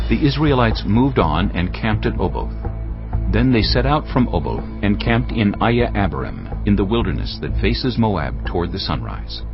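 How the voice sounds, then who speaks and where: A man reads scripted scripture narration in a very deep voice. He is solemn and measured yet widely inflected, with music clearly behind him. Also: muffled recording. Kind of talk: scripted audio Bible narration.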